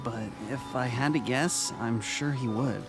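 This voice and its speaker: A man's voice: medium pitch, a little slow, music in the background, lightly acted. A man playing a kindly, reassuring former vice-principal in a dramatic audio story reading. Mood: warm, gentle.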